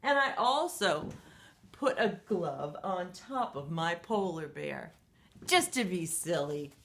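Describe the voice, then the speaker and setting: Slow, sing-song storytime woman. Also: on the low side, expressive, vocal fry, a bit bright. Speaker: children's storytime reader. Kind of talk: reading a picture book aloud to children.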